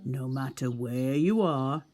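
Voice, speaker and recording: Female reader as elderly male beaver sage: calm, kindly, unhurried, mellow, storybook delivery. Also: a bass voice, a little hiss or hum. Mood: wise, measured. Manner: slow, measured, explaining gently. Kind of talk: children's storybook character voice.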